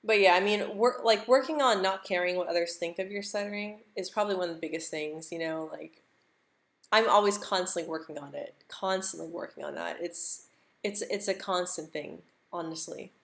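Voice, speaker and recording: A woman's voice: fairly low pitch, normal speed, vocal fry, fairly bright tone. A young American woman who stutters, repeating words and sounds, chatting casually on a live stream and laughing easily. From United States.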